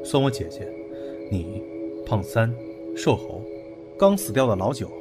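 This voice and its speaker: An actor playing a calm, analytical young man in an audio drama, speaking in a measured, matter-of-fact way. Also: on the low side, over music.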